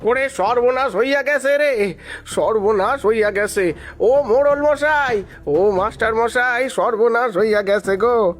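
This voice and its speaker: A frightened village messenger in a cartoon drama, acted by a man, high-pitched and slow in his lamenting. He has a rural Bengali dialect, and music plays clearly behind him. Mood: panicked alarm. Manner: alarmed, wailing. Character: frightened village man bearing terrible news. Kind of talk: dramatic cartoon story acting. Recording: prominent background music.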